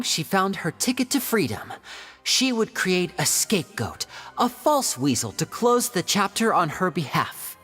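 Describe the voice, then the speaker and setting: An actress playing a poised young female magician in a video game, speaking in a measured, teasing, theatrical way. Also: lowish voice, a bit bright, slightly rough, exaggerated voice. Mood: storytelling. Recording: game audio with reverb and music.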